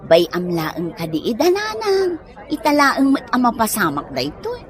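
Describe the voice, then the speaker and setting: Woman acting animated, placating Ilocano daughter. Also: fairly high pitch, measured pace. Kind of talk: Ilocano comedy radio drama acting.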